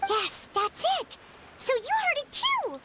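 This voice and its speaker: A woman's voice: extremely high pitch, huge pitch swings, takes it slowly, long reverb tail, very noisy, full character voice. A woman voicing a tiny childlike fairy companion in a video game, chatty, cheery and opinionated. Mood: eager. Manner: refers to self in third person, chatty.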